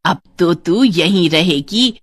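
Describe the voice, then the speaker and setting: A woman voicing a cunning, greedy middle-aged stepmother in a children's story, with drawn-out theatrical gushing and scolding. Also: on the low side, animated, a little hiss or hum. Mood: gushing, fawning.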